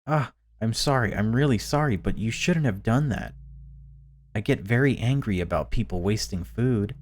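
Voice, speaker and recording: A man reading the lines of a dangerous young female stalker, calmly scolding and falsely apologetic. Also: lowish voice, normal speed, slight background noise, voice pushed up, close to an everyday voice.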